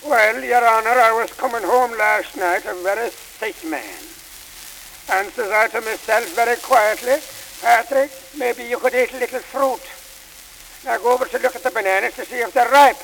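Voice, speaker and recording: A man's voice: very high-pitched, moderate pace, gravelly, a bit bright, full character voice. An actor playing a drunk, blustery Irish man pleading his case in court, in a sly, self-pitying way, in an old vaudeville comedy sketch. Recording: hissy, echoey old record.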